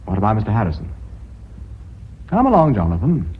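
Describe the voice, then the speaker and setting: Company chairman, radio drama, commanding, probing, animated intonation, muffled recording. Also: on the low side, a little fast, slight background noise. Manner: authoritative, probing, insistent. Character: brisk, commanding company chairman pressing a board inquiry.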